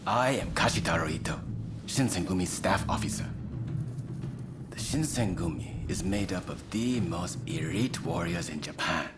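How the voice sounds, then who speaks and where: A man playing a proud, scheming samurai staff officer in a game, speaking in a formal, grandiose, measured way. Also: sits low, expressive, over loud music. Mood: proud, menacing. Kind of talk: English game voice acting, cutscene dialogue.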